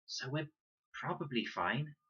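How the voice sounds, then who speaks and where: Man doing a level-headed young storybook girl, lifted pitch, slightly husky, precise and reassuring, slightly dull recording. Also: animated, a little slow, sharp tone, heavily acted. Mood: calm, reasoning. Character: calm, practical, knowledgeable young girl in storybook.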